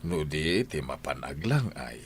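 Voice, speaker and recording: A man's voice: a bass voice, expressive, slight background noise. A man playing a stern, domineering husband and head of the household in an audio drama, lecturing in an Ilocano Filipino accent. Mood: blunt, reproachful. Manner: slow, lecturing, commanding.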